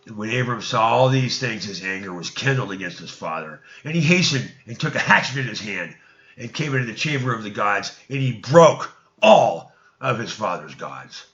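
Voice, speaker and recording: Guy doing dramatic scripture reading, bright raspy-edged creaky voice, kinda muffled recording. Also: on the low side, animated, moderate pace, reverberant. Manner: theatrical, stumbles and repeats words. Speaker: reader. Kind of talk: dramatic narration of scripture read aloud. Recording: dull, lo-fi sound.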